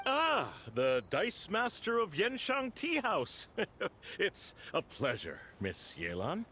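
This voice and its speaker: A man playing a genteel, flattering antique collector in a video game, with florid, unctuous courtesy. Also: high voice, takes it slowly, exaggerated voice. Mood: suave flattery. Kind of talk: video game character voice acting.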